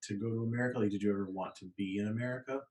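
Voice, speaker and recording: Low-voiced man hosting a podcast, slightly distant. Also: fairly even intonation, racing, a little mellow. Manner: supportive, prompting questions. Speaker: podcast host. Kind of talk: casual podcast chat.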